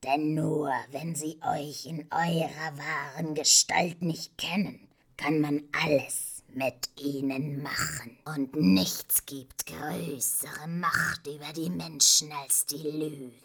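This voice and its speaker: A woman voicing a sinister, cynical male monster in an audiobook, in a hoarse whispery rasp with condescending menace. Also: low-pitched, fairly even intonation, takes it slowly, fairly bright tone, heavily acted. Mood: sinister, knowing. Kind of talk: audiobook character voice.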